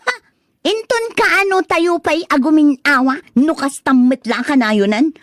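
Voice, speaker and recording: A woman's voice: high-pitched, normal speed, no echo, studio quality, close to an everyday voice. A woman playing an exasperated young wife and mother in a radio drama, whining and scolding sharply. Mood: fed up, scolding. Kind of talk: Filipino radio drama acting.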